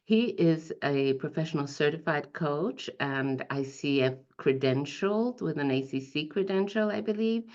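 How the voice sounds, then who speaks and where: Low-pitched woman interviewer, polite and measured; muffled lo-fi audio. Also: a little mellow. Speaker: interviewer, executive leadership coach. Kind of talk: interview host. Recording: dull lo-fi audio.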